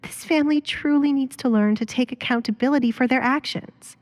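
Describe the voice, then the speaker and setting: A defiant young noblewoman of audio drama, as a woman acts her out. The voice is lifted in pitch, coolly composed, with pointed sarcasm. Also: fairly quick, a little mellow, close to an everyday voice. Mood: sarcastic.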